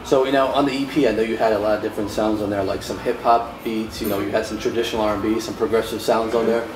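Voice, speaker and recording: Man, low voice, quick casual questions, echoey room, mic at a distance. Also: fairly even intonation. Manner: laid-back, with affirming "right" and "cool". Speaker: interviewer. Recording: echoey room, mic far off, clear background noise.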